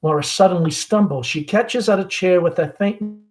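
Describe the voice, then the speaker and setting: Male read-along narrator, higher-pitched voice, delivering a play's stage directions dramatically. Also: moderate pace, darkish tone, soft background music. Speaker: reader of a play, school principal.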